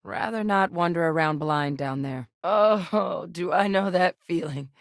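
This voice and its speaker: A level-headed woman scientist and seasoned field hand from a video game, speaking in a low voice. Her delivery is measured and matter-of-fact. The studio sound is close and dry, with no room around it. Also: dry recording. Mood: steady. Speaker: video game companion character. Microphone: studio microphone.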